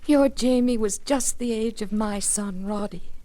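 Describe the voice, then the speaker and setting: An actress plays a grieving, trusting mother in a vintage radio melodrama. Her voice is fairly high, with formal, earnest, genteel delivery. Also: unhurried, mellow, close to an everyday voice. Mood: earnest, confiding. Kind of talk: 1940s radio drama acting.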